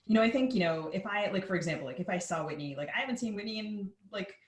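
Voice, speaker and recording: A woman's voice: mid-range voice, expressive. Woman podcast host, roomy echoing sound. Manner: informal, halting, frequent "like". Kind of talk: casual interview conversation.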